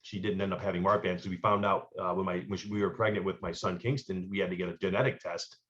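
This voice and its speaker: Low-voiced man rambling with fillers. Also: fairly even intonation, fast, a bit bright. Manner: rambling, frequent fillers and restarts. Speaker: guest, former pitcher and coach. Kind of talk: casual interview chat. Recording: lo-fi muffled recording.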